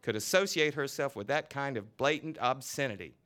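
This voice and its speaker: A pompous, outraged preacher, voiced by a man reading aloud thunders his disapproval. The voice is bright and crisp, and the delivery is stiff and self-righteous. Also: mid-range voice, brisk, voice pushed up, lightly acted.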